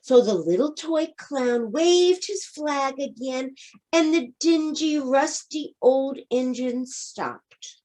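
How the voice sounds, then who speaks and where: Woman, children's book reader, high pitch, slow pace, expressive narration, deliberate phrasing, dramatic emphasis. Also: poor audio.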